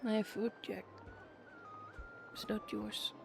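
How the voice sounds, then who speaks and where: A streamer chatting casually in a rambling, giggly, expressive way. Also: mid-range voice, slow pace, gravelly, soft background music. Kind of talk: casual livestream chat.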